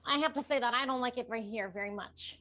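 Brief: woman player, higher voice, quick in-character lines. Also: bright, forceful tone. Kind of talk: casual in-character play.

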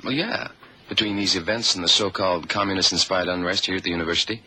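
Low, sharp-voiced FBI sidekick. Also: male voice, steady tone, quick pace. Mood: wry, questioning. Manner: terse, matter-of-fact. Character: matter-of-fact male FBI sidekick, terse and practical. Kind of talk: radio drama acting. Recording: 1970s radio drama, faint noise.

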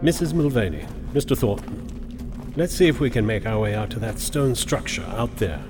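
A man's voice: on the low side, animated, normal speed, natural voice. Guy acting radio drama doctor, precise and lecturing, grimly analytical, big cave echo, music under it. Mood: grave. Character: male expedition doctor, analytical, grimly fascinated by bones. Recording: cavernous reverb, music underneath.

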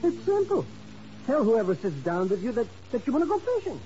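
A wheedling small-time informant in an old radio drama. His high voice jumps around as he stammers and grumbles. The recording is dull and noisy. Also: big pitch swings, brisk, music in the background. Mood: eager. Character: wheedling, nervous, money-minded small-time informant sidekick. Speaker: supporting actor in a radio drama. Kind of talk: 1950s radio comic-sidekick acting.

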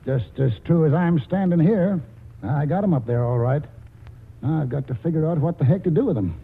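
High-pitched, folksy old grandpa. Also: male voice, expressive, a little fast, gravelly, slightly rough, exaggerated voice. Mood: stubborn, feisty. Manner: folksy, colloquial. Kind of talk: 1940s radio comedy acting. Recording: hissy, muffled old radio broadcast in a hall.

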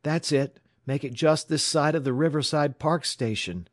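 A male narrator acts out an earnest young telegraph operator in an adventure storybook. The voice is pitched well up, urgent and eager but respectful, and recorded dry. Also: moderate pace, no echo, natural voice. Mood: urgent, excited.

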